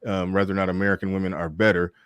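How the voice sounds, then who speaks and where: A man's voice: deep voice, level tone, rapid-fire, fairly bright tone, clear, resonant tone, no echo. A man hosting a live call-in debate show, talking casually with lots of stammered restarts. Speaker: host.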